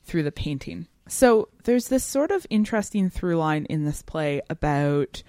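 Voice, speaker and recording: A woman co-hosting a podcast, talking through a story in a casual, animated and emphatic way. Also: sits low, a little slow, dry recording, clean studio sound. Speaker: podcast co-host. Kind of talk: casual literary discussion chat.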